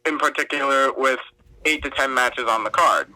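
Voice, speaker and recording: Young man, referee guest, very bright voice, dry close sound, chatty, filler-heavy. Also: medium pitch, normal speed, no room sound, low-quality recording. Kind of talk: casual interview answers.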